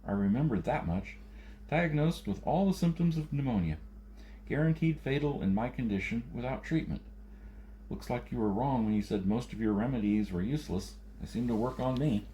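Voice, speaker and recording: A man's voice: medium pitch, lively intonation, moderate pace, dark tone, slight background noise, natural voice. Man reading as an anxious adventurer, urgent questions. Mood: grateful, relieved.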